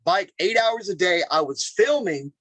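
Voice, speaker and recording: Podcast guest: man, high voice, animated storyteller who repeats himself. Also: normal speed, no room sound. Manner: animated, talks in bursts with repeated phrases. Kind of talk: casual storytelling interview.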